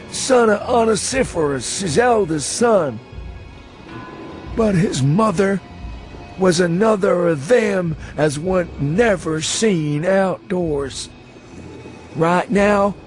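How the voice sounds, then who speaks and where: A high-pitched old rural New England man, rambling and insistent as he nurses a dreadful secret. He drags his words out in a folksy drawl, full of dread, in a radio horror tale with faint music behind him. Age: older. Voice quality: shrill when agitated. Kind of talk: dramatised horror reading for radio.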